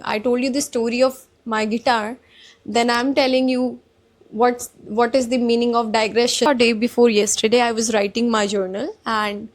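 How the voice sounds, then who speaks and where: A young woman with an Indian accent, vlogging in a calm, polite, soft-spoken way and mixing Hindi with English. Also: on the high side. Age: young adult. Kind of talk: casual reflective vlog talk.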